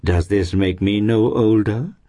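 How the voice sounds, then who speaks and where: Casting: male reader as smug storybook gentleman, oily flatterer, deliberate orator with very animated intonation. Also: low-pitched, voice pushed up. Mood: complacent pride. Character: smug, unctuous gentleman father, complacently flattering.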